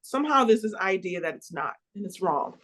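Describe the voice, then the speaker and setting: American woman, opera singer, earnest and emphatic, mid pitch. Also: lively intonation, brisk, a bit bright. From United States. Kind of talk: interview.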